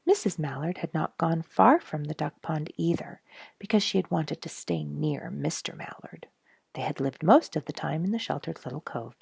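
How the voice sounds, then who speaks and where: A woman reading a children's storybook aloud in an expressive, emphatic manner. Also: deep voice, creaky, husky, no room sound, studio quality. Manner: expressive, emphatic on sound words. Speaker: storybook reader and host.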